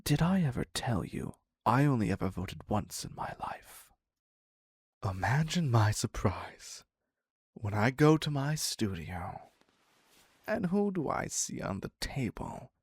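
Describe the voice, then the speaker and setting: A man playing an obsessive, clingy criminal captor in an intimate audio roleplay, husky and halting, slipping into whispers. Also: mid-range voice, very animated, no room sound, clean studio sound, full character voice. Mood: agitated, wry. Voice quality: husky, breathy, gravelly. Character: obsessive male yandere captor, ex-asylum criminal, clingy and needy.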